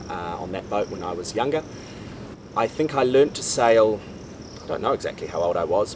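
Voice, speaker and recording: Low-voiced Kiwi man, a bit off-mic. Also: far from the mic, noisy background. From New Zealand. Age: 30s. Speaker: sailing vlogger. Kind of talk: casual chat. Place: outdoors on a boat in Panama.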